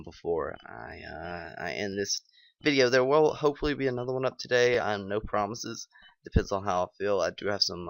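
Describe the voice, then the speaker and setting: A man's voice: lowish voice, fairly bright tone, no echo. A young man with a sick, scratchy, stuffed-up voice, casually commenting on his own online game battles and thinking aloud with many ums. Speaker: gaming channel host.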